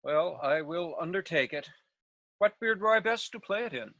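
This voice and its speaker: A woman's voice: fairly low pitch, lively intonation, moderate pace, fairly bright tone, dry recording. A woman playing a self-important, overeager male weaver in a Shakespeare read, declaiming theatrically. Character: Nick Bottom, a bombastic, overeager male weaver and amateur actor. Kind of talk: Shakespeare table read over video call. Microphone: video call, close.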